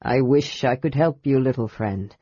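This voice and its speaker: A woman voicing a grave, wise old male gold dragon who speaks slowly and sternly. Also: rumbling low, warm tone, slightly rough, no echo, highs cut off, pitched below the performer's own voice, exaggerated voice. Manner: stern, grave, slow and measured.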